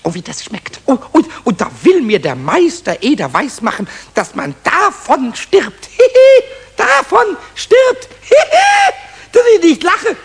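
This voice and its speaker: A man playing a cheeky, tipsy little kobold in a 1970s children's radio play, childlike, boastful and excitable, stammering and breaking into sing-song rhyme. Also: very high voice, a little slow, gravelly, exaggerated voice. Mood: relishing.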